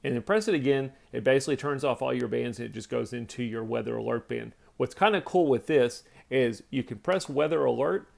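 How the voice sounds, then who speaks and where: A man's voice: mid-range voice, a little fast, vocal fry, hardly any pauses. A man giving a casual product demonstration, explaining things step by step in a plainspoken way. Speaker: product reviewer.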